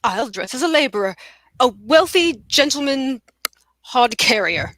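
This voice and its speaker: Pompous, suave gentleman suitor played by a woman, higher-voiced. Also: lively intonation, unhurried, no room sound. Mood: grandly seductive. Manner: pompous, theatrical. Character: suave, grandiose gentleman suitor, flowery and self-important. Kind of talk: cold table read of a stage comedy.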